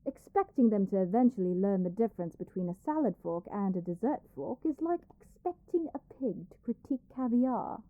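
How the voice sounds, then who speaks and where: A woman playing a haughty, animated spoiled princess. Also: mid-range voice, fairly quick, few pauses, no room sound, narrow, tinny audio, natural voice. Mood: indignant, haughty. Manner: haughty, theatrical, complaining. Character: arrogant young princess, haughty, petulant and spoiled. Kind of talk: dramatic roleplay audio acting.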